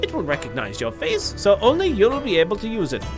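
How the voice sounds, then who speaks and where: A proud old leader of an undersea people acted out by a man speaks in a high, swooping voice. He is solemn and formal, explaining things grandly as a video game character, with music clearly playing behind. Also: huge pitch swings, brisk. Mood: solemn, explanatory. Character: proud, solemn sea people village elder, male.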